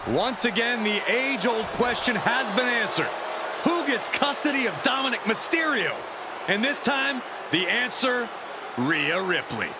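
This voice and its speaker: A man's voice: extremely high pitch, big pitch swings, measured pace, heavily acted. A man playing a wry wrestling commentator in a video game. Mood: dramatic. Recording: very noisy arena background.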